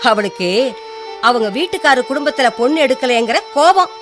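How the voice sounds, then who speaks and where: A woman playing a middle-aged, worried and reproachful mother in a Tamil radio drama, expressive and admonishing. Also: high-pitched, brisk. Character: worried, reproachful mother of a hot-headed son. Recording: faint music bed under the voice.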